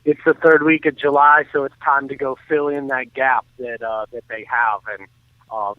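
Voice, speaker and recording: A man with a mid-pitched voice comes through a narrow, tinny phone line with no room sound. He chats casually about sports as a guest, in a halting, conversational way. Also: flat delivery, no room sound. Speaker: baseball blogger, phone-in guest. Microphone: telephone.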